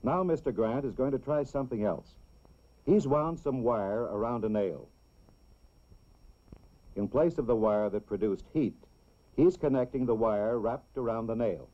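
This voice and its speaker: A man narrates a scripted educational film for children in a measured, clearly explanatory way. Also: mid-range voice. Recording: dull, lo-fi old film soundtrack.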